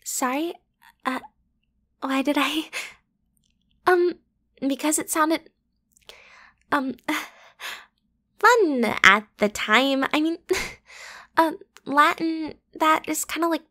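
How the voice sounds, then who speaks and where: Woman acting a high-voiced, teasing popular girl. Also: extremely slow, long pauses, sharp tone, heavily acted. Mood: chatty, complaining. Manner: casual, slangy, teasing, giggly. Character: popular teenage-student girl, teasing, bratty, playful roommate. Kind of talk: ASMR roleplay acting, one side of a conversation.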